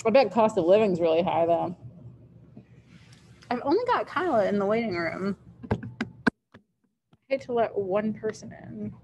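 A woman's voice: mid-range voice, creaky, mellow, a little hiss or hum, low-quality recording. A woman in her thirties, a teacher chatting casually with students on a video call, musing aloud and laughing easily. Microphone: laptop webcam, video call.

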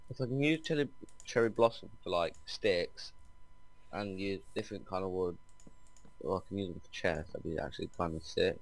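A man's voice: low-pitched, normal speed, creaky, rough recording. A man chatting casually and swearing freely while playing a block-building game with a friend. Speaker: Minecraft let's play host. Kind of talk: casual gaming chat with a friend.

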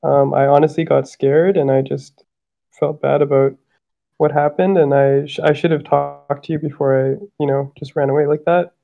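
A man speaking through a voice changer that makes him sound high and odd, talking haltingly and apologetically on a voice-chat call. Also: little pitch movement, gravelly, phone-quality sound, music in the background. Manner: hesitant, apologetic, halting with many fillers. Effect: high-pitched voice changer. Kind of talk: casual voice call.

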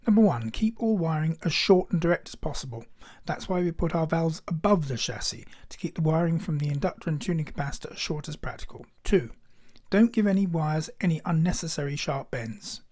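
A man presents scripted technical narration in a measured, instructional manner. His voice sits a little high for a man and has a very soft, mellow tone. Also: lively intonation. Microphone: voice-over.

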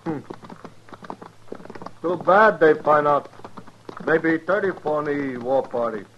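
Slow, terse army scout. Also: male voice, fairly high pitch, animated, a little hiss or hum. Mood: wary. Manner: clipped broken English, terse. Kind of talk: 1950s radio western acting. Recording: dull lo-fi old radio recording.

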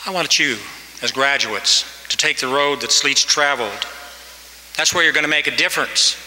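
A man's voice: fairly high pitch, moderate pace, bright, forceful tone, slight background noise. A man in his sixties giving a commencement address at a podium microphone, earnest and exhorting, pushing his voice up for emphasis. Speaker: commencement speaker. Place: large hall. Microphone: podium mic. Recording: reverberant hall, faint ambience.

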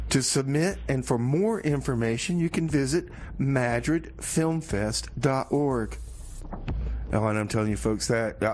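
A man's voice: medium pitch, a little hiss or hum. A man hosting a community radio show with casual, comedic DJ patter, rambling in a folksy way and repeating his phrases.

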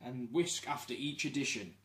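A man's voice: medium pitch, normal speed, fairly bright tone, echoey room. A young man with a Midlands English accent, cracking jokes while baking at home. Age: young adult. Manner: wisecracking, mock-announcer asides. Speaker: cooking vlog co-host. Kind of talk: casual jokey cooking chat. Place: small home kitchen. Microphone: camera mic on the counter. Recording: noisy home video.

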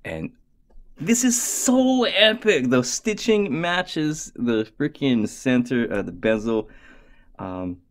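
A man doing a goofy, excitable watch strap review at his desk, talking to himself and dropping into whispers. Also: mid-range voice, slow. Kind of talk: casual unboxing review. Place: indoors at a desk.

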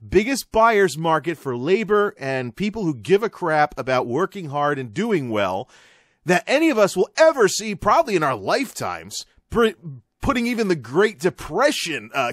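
A man's voice: on the high side, dry recording, clean studio sound. A man giving an informal, opinionated radio-style monologue in an exasperated, emphatic, sardonic manner. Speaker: commentator, host.

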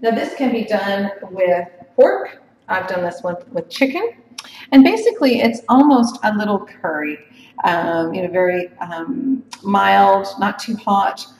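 A woman's voice: medium pitch, lively intonation, measured pace, a little mellow, slight background noise. A woman giving a casual live cooking demonstration, chatty and full of ums and restarts. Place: home office kitchen. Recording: echoey room, from far off.

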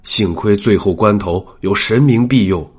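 A man voicing a wary, deferential household steward with a husky voice in an audiobook drama. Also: on the low side, normal speed, faint music behind. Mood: grave reporting.

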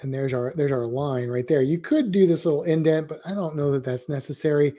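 A man's voice: a little high, fairly quick, warm tone, dry recording, studio quality. A man teaching drawing on a live stream, rambling loosely and thinking aloud while he sketches.

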